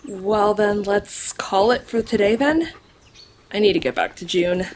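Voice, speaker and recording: A woman's voice: mid-range voice, a little slow, a little hiss or hum, lightly acted. A woman playing an earnest, caring green Martian who is eager to help, in an audio drama. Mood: relieved, caring. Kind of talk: audio drama character acting.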